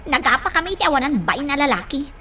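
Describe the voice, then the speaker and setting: An actress with a Filipino accent playing a spoiled, petulant young daughter in a radio drama. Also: female voice, high voice, big pitch swings, quick pace, pushed and bright, slight background noise, heavily acted. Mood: annoyed.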